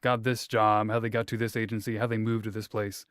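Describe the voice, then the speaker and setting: A man hosts a relaxed interview with a smooth, resonant voice. He talks in a thoughtful, rambling way, repeats himself often, and pokes fun at himself. The sound is close and dry. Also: fairly low pitch, fairly quick, no room sound. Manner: thoughtful, wordy, repeats himself, light self-mocking jokes. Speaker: interviewer, host. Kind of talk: casual interview chat.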